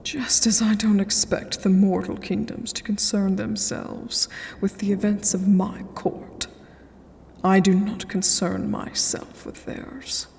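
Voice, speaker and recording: A haughty, ageless vampire queen for a fantasy ASMR roleplay. Her words come extremely slowly in a regal, condescending half-whisper with a crackling fry. A long, cavernous reverb surrounds her. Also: mid-range voice, flat delivery, a little mellow. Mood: lofty, cold. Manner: formal, measured, imperious, breathy half-whisper.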